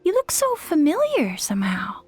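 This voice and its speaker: A woman playing an earnest, self-doubting young girl warrior in training in an anime-style video game, youthful and expressive. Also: very high-pitched, moderate pace, dry recording, exaggerated voice. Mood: curious, unsure. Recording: music playing behind the voice.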